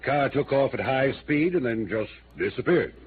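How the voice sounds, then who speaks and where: Through a hissy, phone-thin old radio recording comes a courteous small-town mayor, acted by a man. He speaks formally and earnestly, with a lively rise and fall and a touch of uneasy hesitation. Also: medium pitch, normal speed, lightly acted. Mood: earnest, reassuring. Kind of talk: 1950s radio drama acting. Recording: noisy, narrow-band old radio recording.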